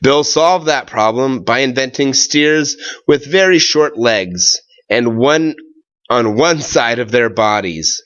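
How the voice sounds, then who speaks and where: Expressive, slow-paced man reading a children's story. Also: mid-range voice, clean tone, lo-fi sound. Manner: expressive, deliberate phrasing with drawn-out words and pauses. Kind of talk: read-aloud of a children's tall tale.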